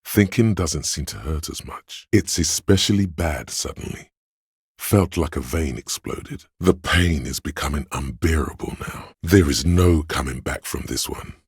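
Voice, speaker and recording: A man voicing a grim, wry inner voice of pain in a video game, narrating to the listener in a husky, deliberate, ominous way. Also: a bass voice, no room sound, studio quality, full character voice. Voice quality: gravelly, husky. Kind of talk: scripted second-person game narration.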